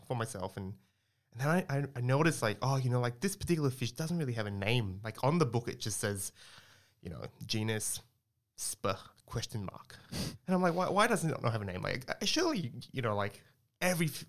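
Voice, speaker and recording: A man's voice: on the low side, a bit bright. A young man from Singapore, a scientist guest, explaining his work in a chatty way with lots of fillers and restarts. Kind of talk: casual interview talk.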